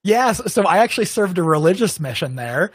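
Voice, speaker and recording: A man from Arizona, a guest in an interview, giving relaxed, conversational advice and acting out what viewers might think. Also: sits high, a little fast, slightly rough, no echo, clean studio sound. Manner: relaxed, conversational, acts out imagined viewers' thoughts.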